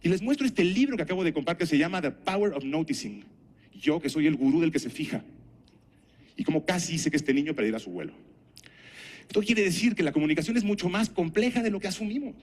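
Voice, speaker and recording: Man, middle-aged, Mexican accent, conference speaker, didactic, deliberate phrasing, anecdotal, rhetorical questions. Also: fairly high pitch, darkish tone. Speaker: conference speaker and health professional.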